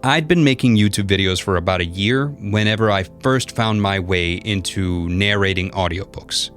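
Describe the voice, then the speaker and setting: A man narrating a personal story as a voice actor, in an expressive, dramatic storyteller's delivery. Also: on the low side, moderate pace. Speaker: voice actor and content creator. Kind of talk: personal storytelling with numbered lessons. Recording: music behind the voice. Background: background music.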